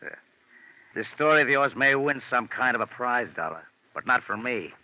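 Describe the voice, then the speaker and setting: A man's voice: medium pitch, lively intonation, moderate pace, natural voice. A man playing a tough, sarcastic police lieutenant questioning suspects in a 1950s-style radio drama. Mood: skeptical, sarcastic. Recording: muffled old radio broadcast.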